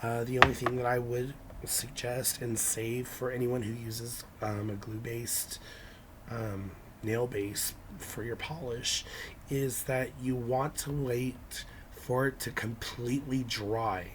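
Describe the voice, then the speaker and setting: A hoarse young man with a raspy, scratchy voice, talking through a casual nail-art how-to with lots of ums and restarts. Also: medium pitch, fairly even intonation, slow pace, a bit bright. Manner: hesitant, many ums and restarts. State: hoarse from illness.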